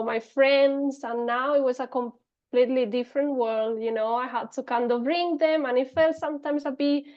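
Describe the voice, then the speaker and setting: Spanish woman guest on a podcast, talks slow and hesitant with lots of ums, kinda muffled audio. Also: high voice. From Spain. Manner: hesitant, many fillers and restarts. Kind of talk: casual interview talk.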